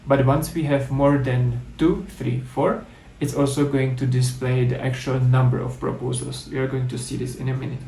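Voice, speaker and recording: A man's voice: medium pitch, little pitch movement, normal speed, hardly any pauses, dark tone, reverberant, rough recording. A man with a Czech accent giving a methodical conference talk, walking through code in a live demo. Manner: explanatory, methodical, with restarts. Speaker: conference speaker.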